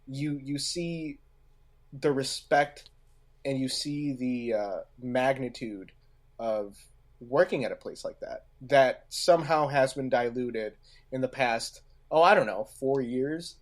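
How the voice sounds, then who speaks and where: Male podcast co-host, medium pitch, unhurried and halting delivery, conversational discussion style. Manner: halting, pausing mid-phrase.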